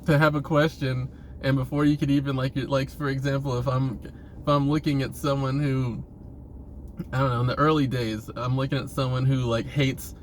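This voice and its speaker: A man giving a casual, rambling monologue to viewers, halting and repeating himself, with informal, sweary phrasing. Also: mid-range voice, little pitch movement, a little hiss or hum. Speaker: vlogger.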